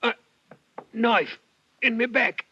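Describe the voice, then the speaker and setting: A man's voice: very high-pitched, slow, bright, forceful tone, a little hiss or hum, heavily acted. An actor playing a stabbed man gasping out a last warning in a 1950s radio drama, strained and dying. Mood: agonized. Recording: muffled old radio recording.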